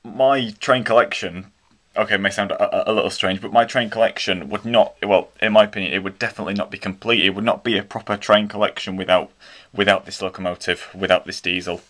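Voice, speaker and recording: A man's voice: on the low side, steady tone, quick pace, a bit bright. A man with a British accent chatting casually and eagerly while unboxing a model locomotive at home, rambling with many restarts. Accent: British English. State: slightly croaky throat. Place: indoors at home, carpeted floor.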